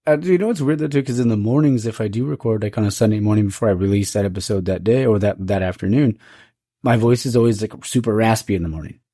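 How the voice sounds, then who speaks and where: A man's voice: lowish voice, fast, dark tone, dry recording, clean studio sound. A man hosting a podcast, rambling casually with lots of fillers. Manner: rambling, many fillers like "like" and "dude". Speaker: podcast host. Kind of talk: casual chat.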